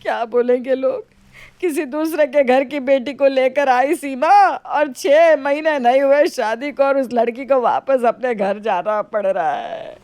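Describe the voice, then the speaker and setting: A woman's voice: high voice, measured pace, a little mellow, dry recording. A woman voicing a fretful middle-aged mother-in-law in a story, theatrical and wailing. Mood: tearful, lamenting.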